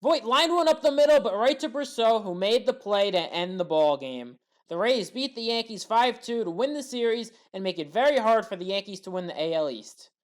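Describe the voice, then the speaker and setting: A woman giving scripted baseball highlight commentary as an opinionated, partisan fan. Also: animated, moderate pace. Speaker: sports commentator.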